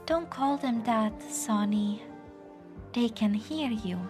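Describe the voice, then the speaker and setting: A woman voicing a polite, serenely controlling scientist mastermind in a game drama fan dub, measured and faintly ominous. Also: a little high. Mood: gentle, insistent. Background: clear background music.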